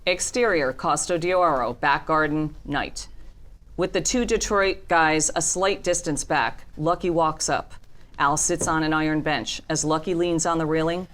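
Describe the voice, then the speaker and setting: A woman narrates a television script at a table read. Her voice is deep for a woman, and she reads out scene headings and action as scripted narration. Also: unhurried, a bit bright. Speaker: narrator reading stage directions.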